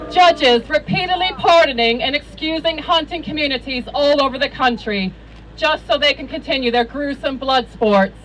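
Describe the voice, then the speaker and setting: A woman's voice: high voice, a bit bright, poor audio. A woman in her thirties delivering an indignant, emphatic rally speech from notes into a handheld microphone. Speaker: rally speaker. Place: outdoor stage before a crowd.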